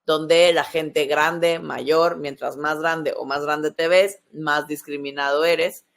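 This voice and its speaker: A woman's voice: low voice, fairly even intonation, takes it slowly, gravelly. A woman in her forties with a Mexican accent speaks as a podcast host. She explains things conversationally, step by step, and often adds short questioning tags.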